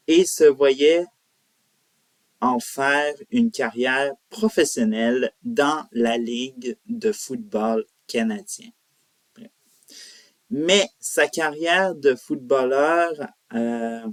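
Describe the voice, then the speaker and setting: A man presents a music profile at a very slow pace. His delivery is informal and halting, with self-corrections. His voice sits at a medium pitch, recorded dry with little room sound. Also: no echo. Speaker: host of a country music profile show. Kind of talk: semi-scripted biographical narration to viewers.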